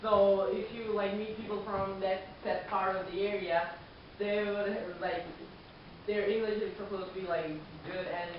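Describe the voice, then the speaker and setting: A man's voice: sits high, little pitch movement, unhurried. Teenage boy, echoey distant hall recording. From Pakistan. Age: teens. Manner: casual, hesitant, many fillers and restarts. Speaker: exchange student presenter. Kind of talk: community presentation. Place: church hall. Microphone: distant room mic. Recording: echoey muffled hall recording, far off, noisy.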